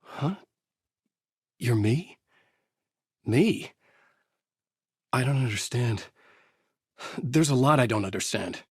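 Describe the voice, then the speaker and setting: A man playing a brooding, haunted writer in a video game, narrating a dark noir thriller in grave, clipped, dramatic phrases with a husky voice. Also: mid-range voice, lively intonation, slow, pauses often, no room sound, studio quality. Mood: bewildered, troubled. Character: brooding, haunted male writer trapped in a nightmare.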